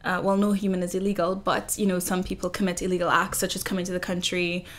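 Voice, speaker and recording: A woman from Trinidad, a journalist in her thirties, explaining her research in an interview in earnest, measured sentences. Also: on the low side, level tone. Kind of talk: interview guest, conversational.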